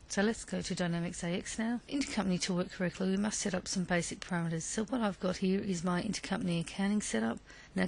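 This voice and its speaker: Aussie woman walking through a business software demo, medium pitch, tutorial style. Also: low-quality recording. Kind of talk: instructional narration of a software demo.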